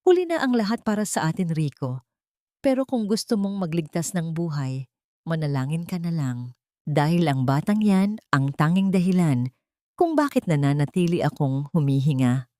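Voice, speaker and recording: A woman's voice: sits low, very animated, normal speed, dark tone, no room sound, studio quality. A woman voicing a desperate, wealthy mother who pleads and commands with emotion in an audiobook drama. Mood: bitter.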